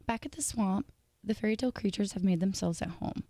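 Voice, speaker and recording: A woman reading a scripted analytical video essay in a measured, formal way. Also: mid-range voice, mellow, no room sound.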